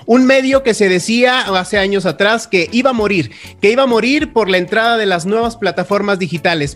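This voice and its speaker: A man with a Mexican accent hosting a radio show, presenting guests in a flowing, warm way. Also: high-pitched. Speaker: radio host.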